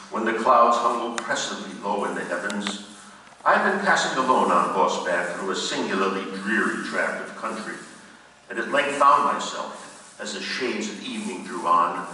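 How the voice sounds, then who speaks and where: Actor as grave gothic narrator in echoing hall. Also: male voice, on the low side, pushed and bright. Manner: measured, literary, dramatic delivery. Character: Devon, grave male narrator and visiting old friend of Roderick. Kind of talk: gothic radio play narration and dialogue. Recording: very echoey hall, distant mic, faint noise.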